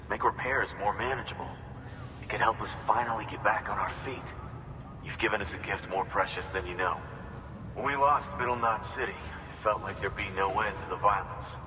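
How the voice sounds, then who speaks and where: A grateful, worn survivor , played by a man in a video game, with a very low voice. He talks earnestly and haltingly. The space rings like a big hall, with clear noise behind. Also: natural voice. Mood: hopeful awe. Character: grateful, weary male city survivor rebuilding with hope. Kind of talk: dramatic game cutscene acting.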